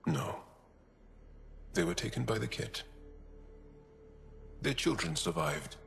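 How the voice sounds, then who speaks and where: An actor playing a grieving, thoughtful alien resistance fighter in a video game, speaking haltingly and softly over music. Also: male voice, on the low side, sing-song, gravelly, full character voice. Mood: sorrowful. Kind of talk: video game cutscene acting. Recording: music under the voice.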